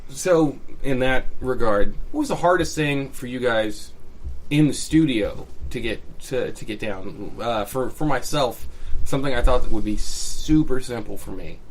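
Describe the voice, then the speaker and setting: A man's voice: mid-range voice, lively intonation, measured pace, slight background noise, rough recording. A man hosting a casual podcast conversation, telling stories with laughs and frequent swearing. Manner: storytelling, laughing, swears freely. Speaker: podcast host and musician.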